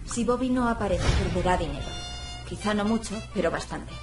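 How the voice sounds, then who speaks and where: An actress playing a sharp-tongued, skeptical young woman in a video game, with a clipped, sarcastic manner. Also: medium pitch, animated, a little fast, recorded from a distance, natural voice. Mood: sardonic. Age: young adult. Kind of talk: video game dialogue acting. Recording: echoey room, music behind.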